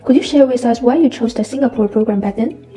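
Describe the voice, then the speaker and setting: A woman's voice: a little high, fast, mellow. A woman hosting an interview, reading a polished, upbeat script. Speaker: interview host. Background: faint background music.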